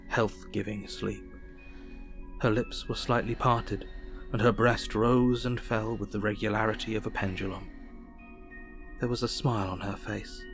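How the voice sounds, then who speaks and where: An actor playing an earnest Victorian doctor, narrating his diary in an audio drama with a measured, formal delivery. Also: lowish voice, fairly bright tone. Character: earnest Victorian doctor keeping a night vigil.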